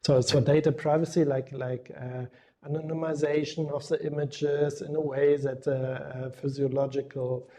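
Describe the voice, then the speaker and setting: A man moderating an informal conference discussion, putting questions tentatively with pauses along the way. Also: a little high, flat delivery, warm tone. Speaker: session moderator.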